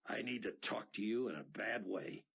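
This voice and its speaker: A man's voice: fairly low pitch, normal speed, gravelly, a bit bright, slightly rough, exaggerated voice. A man voicing a blunt, plainspoken middle-aged pilot with folksy talk. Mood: eager.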